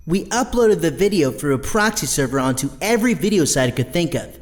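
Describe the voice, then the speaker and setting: A man's voice: a little high, animated, brisk, close to an everyday voice. A man playing a clever, wry young team member in an audio drama. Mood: pleased. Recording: long hall reverb, music bed.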